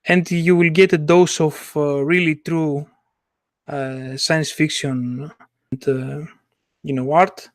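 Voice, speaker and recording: A man's voice: a little high, fairly even intonation, no echo, clean studio sound. A man who speaks English as a second language, co-hosting a casual podcast and searching for his words as he goes. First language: not English. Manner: halting, searching for words in non-native English. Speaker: podcast co-host and illustrator.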